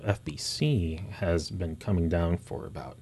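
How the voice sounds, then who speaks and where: Very deep-voiced Honduran man, hesitant. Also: very animated, measured pace, gravelly, breathy edge. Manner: warm, hesitant, with many fillers. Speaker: mission field director. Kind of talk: interview guest.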